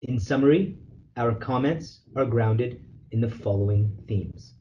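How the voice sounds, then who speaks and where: A man lawyer reading a formal legal letter aloud on a conference call, in a measured, formal way. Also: mid-range voice. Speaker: lawyer, representative counsel leading a call. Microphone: telephone conference call. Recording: dull conference phone call with noise.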